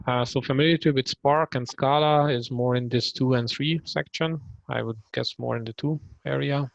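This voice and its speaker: A man in his thirties from Hungary speaks on a video call with a gravelly edge to his voice. He talks with many ums and short pauses, and the sound is dry and close. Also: mid-range voice, steady tone, dry recording, lo-fi sound. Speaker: trainee introducing himself. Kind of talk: casual self-introduction on a video call.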